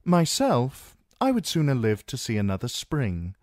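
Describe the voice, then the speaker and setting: A man's voice: mid-range voice, huge pitch swings, measured pace, darkish tone, no room sound, clean studio sound, pitched above the performer's own voice. A man voicing a weary, pragmatic young knight who argues with sardonic reasoning.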